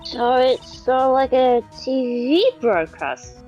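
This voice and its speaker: A woman voicing a sweet, earnest girl assistant with a gentle, hesitant manner in a live game fan dub. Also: high voice, sing-song, slow pace, highs cut off, full character voice. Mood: curious. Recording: music behind the voice.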